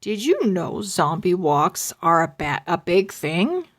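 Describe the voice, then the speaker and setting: A woman's voice: fairly low pitch, sing-song, slow, full character voice. A woman voicing a chatty, easygoing father who explains things mildly in a children's storybook.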